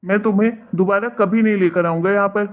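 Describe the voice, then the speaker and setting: A woman voicing an exasperated, reproachful young husband in an animated family drama, lecturing and scolding. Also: medium pitch, fast talker, phone-quality sound, close to an everyday voice.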